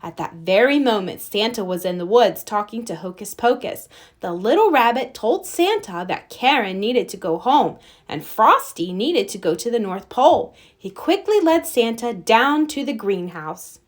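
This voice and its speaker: A woman narrates a children's storybook. Her voice sits high and moves around a lot, giving an expressive read-aloud delivery. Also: normal speed. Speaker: storybook reader.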